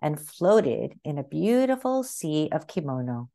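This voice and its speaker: A woman narrates a children's picture book in a slightly low voice. Her pitch moves widely as she reads, and she phrases each sentence carefully, in an expressive storytelling style. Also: unhurried, creaky. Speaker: read-aloud narrator.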